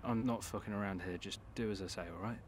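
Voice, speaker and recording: A man's voice: on the low side, fast talker, a little hiss or hum, close to an everyday voice. A man playing a brusque, urgent, commanding character in an audio drama, speaking tersely. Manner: terse, no-nonsense.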